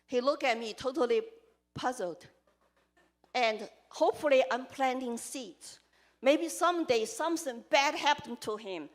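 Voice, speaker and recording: A woman with a Chinese accent speaks to a live audience as a congressional candidate. Her voice sits high, and she delivers her points earnestly in short, emphatic bursts. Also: a little slow. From China. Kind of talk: campaign speech to a live audience.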